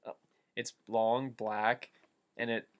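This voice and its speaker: A man's voice: medium pitch, flat delivery, takes it slowly. Man reading fan fiction aloud, casually. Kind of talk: dramatic reading with casual riffing commentary.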